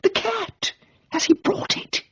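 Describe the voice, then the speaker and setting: A man voicing an imperious, melodramatic older upper-class English aunt, shrill, exclamatory and scolding, in a comic radio reading. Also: takes it slowly, sharp tone, dry recording, lo-fi sound. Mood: indignant, exclamatory.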